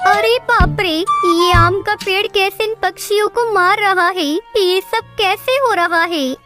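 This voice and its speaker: A woman's voice: very high-pitched, slow pace, over music. A woman voicing a meek, poor little female bird in a children's cartoon, speaking in a plaintive, earnest way. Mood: alarmed. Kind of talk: Hindi children's cartoon dubbing.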